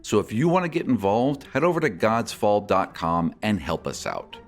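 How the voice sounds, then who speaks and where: A man's voice: fairly low pitch, lively intonation, moderate pace, soft background music. A man speaking as a podcast host and game master, delivering a scripted announcement.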